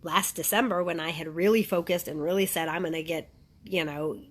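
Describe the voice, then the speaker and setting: A woman's voice: fairly low pitch, fairly even intonation, brisk. A middle-aged woman speaking informally and earnestly as a wellness coach, emphatic and repetitive, often checking in with her listeners. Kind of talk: informal motivational talk to viewers.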